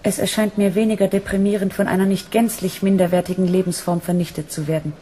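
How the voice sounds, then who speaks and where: A woman's voice: mid-range voice, little pitch movement, fast, warm tone, a little hiss or hum, close to an everyday voice. A woman playing a captured female android in a German radio drama, poised and bitterly resigned.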